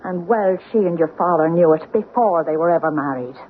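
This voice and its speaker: An actress playing an older Irish woman who gravely tells family secrets in deliberate, lilting radio-drama storytelling. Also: lowish voice, lightly acted. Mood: confiding. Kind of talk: old-time radio drama acting. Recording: tinny, noisy old radio broadcast.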